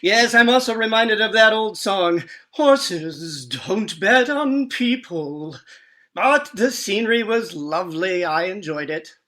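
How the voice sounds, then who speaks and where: Man acting a droll gentleman sidekick, florid and fussy, arch delivery, old-time radio comedy-drama. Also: high voice, animated, slow pace, exaggerated voice. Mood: cheerful, droll.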